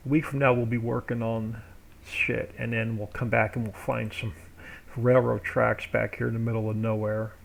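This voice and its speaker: A man's voice: medium pitch, normal speed, creaky. A man live-streaming, casually thinking aloud as he works through a game map build. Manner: thinks aloud while working, relaxed and rambling.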